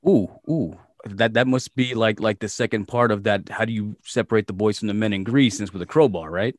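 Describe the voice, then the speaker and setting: A man's voice: fairly low pitch, brisk, dry recording, clean studio sound. A man hosting his own podcast, chatting in a loose, joking way with plenty of swearing. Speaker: podcast host. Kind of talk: casual, profane podcast chat.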